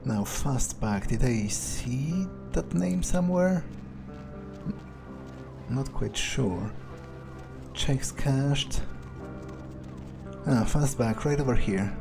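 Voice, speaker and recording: A man roleplaying a detached, pragmatic male vampire in a game playthrough, musing aloud with hesitant pauses. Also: medium pitch, lively intonation, gravelly, over music, heavily acted. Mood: musing, matter-of-fact. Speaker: player roleplaying a character. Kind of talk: in-character game playthrough narration.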